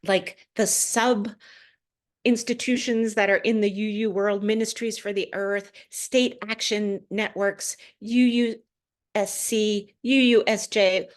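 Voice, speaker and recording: A woman minister delivering a prepared sermon to a congregation, phrasing deliberately and pausing for emphasis. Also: mid-range voice, fairly even intonation.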